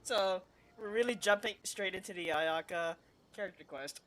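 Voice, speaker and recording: A woman's voice: mid-range voice, normal speed. A woman game streamer giving casual, informal livestream commentary with hesitant phrasing. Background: loud game music.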